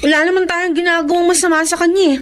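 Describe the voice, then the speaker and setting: Childlike, naive young Filipino girl for radio drama; extremely high voice, eager, faint background noise. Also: fairly even intonation, quick pace. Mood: questioning.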